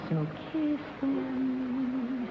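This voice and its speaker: Sultry female singer, high voice, drawn-out love song, tinny 1960s film sound, prominent music. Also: flat delivery. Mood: sultry, seductive. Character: seductive woman singing a love song.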